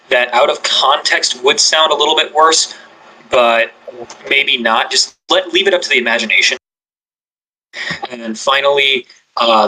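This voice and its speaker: A man's voice: mid-range voice, sharp tone, rough recording. A man on a podcast panel giving casual tips on making content, chatty and loose. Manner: chatty, loose with filler words and restarts. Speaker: ASMR creator on a podcast panel.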